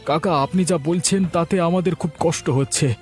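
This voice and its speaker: A man's voice: on the high side, normal speed, soft background music, lightly acted. A man voicing a meek, honest villager in a cartoon, polite and pleading. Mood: troubled, pleading. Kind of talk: cartoon dialogue acting.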